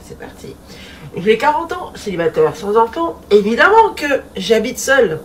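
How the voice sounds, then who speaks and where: Forties woman, fairly high voice, laughing and chatty, recorded off-mic with light background noise. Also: sing-song, normal speed, recorded from a distance. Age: 40s. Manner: playful and chatty, repeats a refrain, laughs often. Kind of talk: casual monologue.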